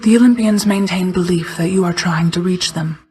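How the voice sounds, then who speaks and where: A woman voicing a solemn, regal night goddess and protective mother figure in a video game, speaking in a formal, measured, restrained way. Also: lowish voice, mellow, husky. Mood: stern, restrained. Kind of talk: scripted video game character dialogue.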